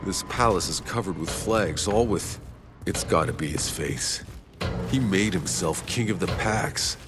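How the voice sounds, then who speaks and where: A gruff game mercenary, really low voice, blunt and cursing, music under it. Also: male voice, a little slow. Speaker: player character, mercenary. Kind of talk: video game dialogue.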